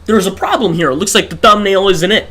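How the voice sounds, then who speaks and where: A man's voice: sits high, fast, sharp tone, husky. An exhausted man streaming a game and rambling through rhetorical questions into a desk microphone. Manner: talks through his thoughts with many rhetorical questions and restarts. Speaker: gaming livestreamer. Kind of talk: rambling live-stream rant.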